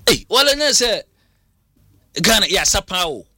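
A man discussing football as a pundit, halting and stammering as he mixes languages. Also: sits high, big pitch swings, pushed and bright, no echo, studio quality. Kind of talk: heated sports discussion.

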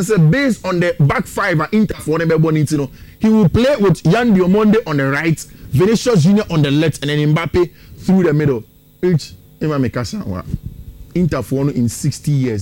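A Ghanaian man with a fairly high-pitched voice gives football previews as a pundit. He is conversational and opinionated, and slips local-language phrases into his analysis. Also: a little mellow, no room sound. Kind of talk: sports preview commentary.

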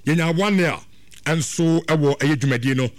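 Ghanaian male sports host, raised pitch, dry studio voice, repeats himself. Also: normal speed, dry recording. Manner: mixes Twi and English, repeats phrases. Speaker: radio sports show host. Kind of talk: casual sports chat.

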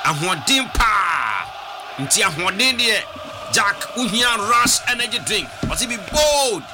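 A man with a Ghanaian accent doing live radio football commentary, shouting excitedly and mixing Twi and English. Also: high-pitched, unhurried. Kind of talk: live radio play-by-play with read-in ads. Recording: noisy broadcast with crowd behind.

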